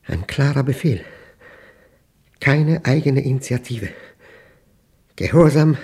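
An actor playing an obsessed, paranoid man in a radio drama monologue, speaking intensely in halting, clipped fragments as he battles a machine he fears. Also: medium pitch, animated, mellow, husky, exaggerated voice. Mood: tense, grim.

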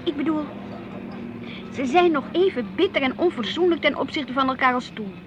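A woman's voice: high-pitched, expressive, moderate pace, muffled recording. An actress playing an inquisitive, earnest wife and mother in a naturalistic radio play, with a Netherlands Dutch accent. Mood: exasperated. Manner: questioning, earnest. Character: inquisitive, reflective wife and mother, easily piqued at her husband. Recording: noisy outdoor street ambience with traffic.

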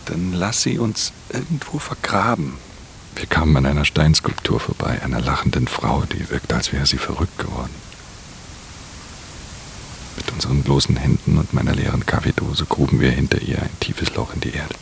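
A man's voice: expressive, moderate pace, slight background noise, exaggerated voice. An actor playing a weary, hard-edged thief in a radio drama, speaking in a terse, subdued way as he narrates and talks. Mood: subdued, earnest. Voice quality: very deep, gravelly. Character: weary, hard-edged male thief narrating his own crime story. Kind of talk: radio drama, first-person narration and dialogue.

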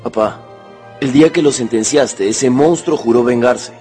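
A man's voice: mid-range voice, normal speed, mellow, soft background music, natural voice. A man playing a playful, caring adult son and dog owner in a radio drama. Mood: worried.

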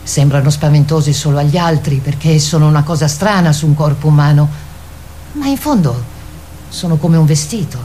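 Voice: medium pitch, normal speed, dark tone, a little hiss or hum. A narrator reading a scripted text in a theatrical radio style.

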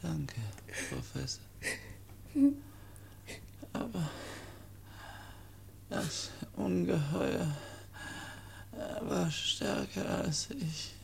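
An actor playing a gentle dying young man in a radio play, exhausted and feverish, speaking in halting, broken phrases. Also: fairly high pitch, creaky, full character voice. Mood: exhausted, fading. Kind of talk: German radio play acting. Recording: noisy old radio drama recording.

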